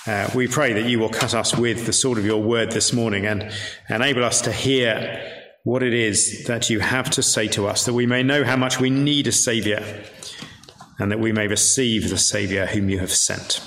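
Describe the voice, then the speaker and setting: A man's voice: lowish voice, steady tone, normal speed, fairly bright tone. A man with an English accent preaching a sermon in a conversational, somewhat hesitant way with dry asides. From York, England. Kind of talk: sermon with storytelling. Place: large reverberant indoor room. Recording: echoey hall, reverberant, some background noise.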